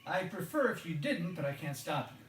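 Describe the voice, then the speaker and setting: Casting: a calm, quietly menacing man posing as a state trooper, naturalistic, recorded off-mic in a roomy space. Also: on the high side, brisk, recorded from a distance, slight background noise. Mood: polite, probing. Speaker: actor in an audio drama. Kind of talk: naturalistic radio drama dialogue. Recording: roomy, echoing, off-mic sound.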